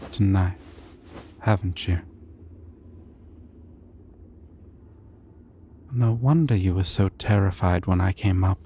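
A man playing a shy, dorky, kind dragon in an ASMR fantasy roleplay, speaking softly and hesitantly with tender, rambling care. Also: on the low side, a little hiss or hum. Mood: tender, sympathetic. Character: shy, gentle, dorky adult male dragon caring for a stranger.

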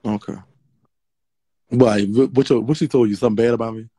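A man's voice: medium pitch, steady tone, fairly quick, warm tone, breathy edge, dry recording, clean studio sound. A man hosting a late-night phone livestream, sassy and swearing. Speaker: livestream host. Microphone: phone front camera.

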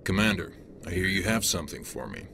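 A gravelly alien detective. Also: male voice, sits low, big pitch swings, quick pace, background noise, exaggerated voice. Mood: pleased. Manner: blunt, businesslike. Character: gruff male alien detective, tough and pragmatic. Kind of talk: video game cutscene acting. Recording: game audio with loud score.

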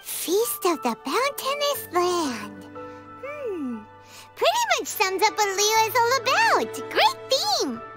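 A woman voicing a tiny, excitable fairy girl companion in an anime video game, squeaky, childlike and cutesy. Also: slow pace, over music, full character voice. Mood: delighted. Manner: talks of herself in third person, cutesy.

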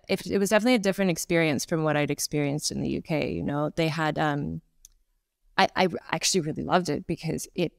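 A woman in her thirties with a Canadian accent, a Pilates instructor chatting as a guest on a video call, talking with lively gestures and lots of fillers. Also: low voice, clear, resonant tone, no echo, clean studio sound. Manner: chatty, animated hand gestures, frequent fillers. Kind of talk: casual conversational interview. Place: home room, indoors.

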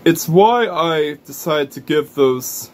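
A man's voice: fairly high pitch, animated, takes it slowly. Rambling young man vlogging at home. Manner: rambling, self-correcting, explanatory. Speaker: racing video reviewer. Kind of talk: casual vlog commentary. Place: small indoor room full of toy shelves. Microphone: camera or webcam in front of him.

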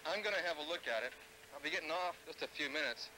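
A man's voice: a little high, fast talker, background noise, natural voice. A man playing a dutiful young American fireman and husband in a 1970s educational film. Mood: matter-of-fact. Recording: old film soundtrack with music.

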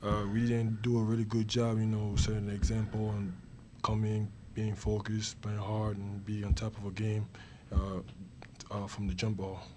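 A young male college basketball player answers reporters in a low, flat voice, stumbling through fillers and repeated words. He speaks into a table mic over a faint room hum. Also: moderate pace. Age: young adult. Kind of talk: post-game press conference.

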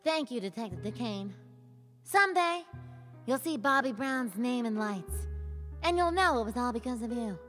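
A woman's voice: a little high, animated, moderate pace, a bit bright, in a large hall, soft background music. An actress playing a brash, cocky nine-year-old boy in a slapstick noir radio play. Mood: cocky, hopeful. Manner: brash, swaggering.

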